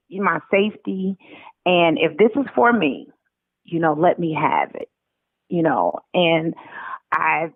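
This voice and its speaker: A woman's voice: on the low side, creaky, no room sound. American woman on a tinny phone-like line. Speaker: interview guest, author. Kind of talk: casual conversational interview.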